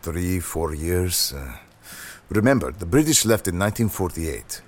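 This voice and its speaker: A man voicing a soft-spoken older man recounting a painful family history. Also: sits low, lively intonation, a little slow. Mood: somber.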